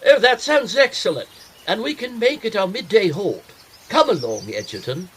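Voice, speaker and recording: An actor playing a well-bred, wry gentleman traveller in a period audio drama, speaking formally and articulately. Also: high voice, big pitch swings, moderate pace, breathy edge, heavily acted. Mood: enthusiastic.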